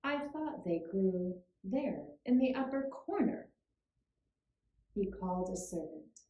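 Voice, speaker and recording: Slow-spoken woman storyteller in an echoey room. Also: mid-range voice, sing-song, dark tone. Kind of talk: scripted storybook narration for a puppet show. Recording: roomy, echoing room sound.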